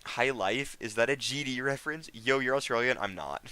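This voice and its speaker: A man's voice: medium pitch, moderate pace, bright, forceful tone, clear, resonant tone, no room sound. A teenage American guy streaming a game, chatting casually with viewers in a rambling, slangy way. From United States. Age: teens. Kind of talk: casual live stream chat.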